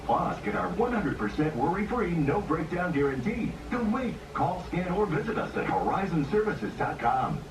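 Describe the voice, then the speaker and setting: Lively higher-voiced male ad announcer. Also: normal speed, hardly any pauses, background noise. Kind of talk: commercial read. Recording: echoey broadcast audio from far off.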